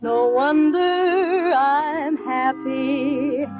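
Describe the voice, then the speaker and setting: A woman's voice: high voice, fairly even intonation, drawn-out words. A woman singing a swing song in the style of 1940s radio. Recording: muffled vintage radio, loud band music.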